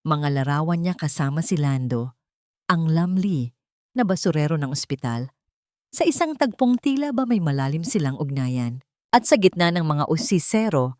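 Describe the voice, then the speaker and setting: A woman's voice: on the low side, darkish tone, dry recording, studio quality. A woman narrating a dramatic scripted story in a solemn, emotive way.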